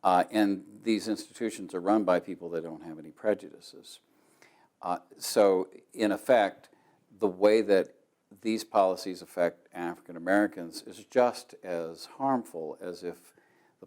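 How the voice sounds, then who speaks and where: A man with a fairly low voice gives an explanatory interview. He is plain-spoken and often pauses between phrases as he lays out his points. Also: darkish tone. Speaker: interviewed speaker.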